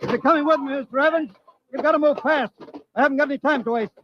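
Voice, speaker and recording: An actor playing a hard-driving, authoritative veteran engineering chief in a mid-century science fiction radio drama, brusque and giving clipped orders. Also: male voice, very high voice, fast talker, noisy background. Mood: urgent, brusque. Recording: dull, lo-fi old radio recording.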